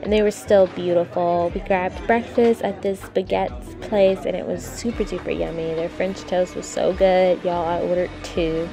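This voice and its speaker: A woman's voice: fairly low pitch, fairly even intonation, normal speed, hardly any pauses, music in the background. A woman in her twenties vlogging casually, slightly nasal from a cold, full of "like" fillers, filming at arm's length. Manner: chatty, many "like" fillers. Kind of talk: casual travel vlog. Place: hotel room and shop, indoors. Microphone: handheld camera at arm's length.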